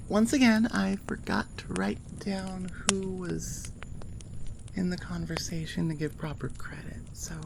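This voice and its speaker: A woman talks informally to her listeners in an unhurried, rambling way. Her voice has a lot of vocal fry, and she is self-deprecating and casual. There is clear noise behind her. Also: on the low side. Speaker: ASMR audio creator and host.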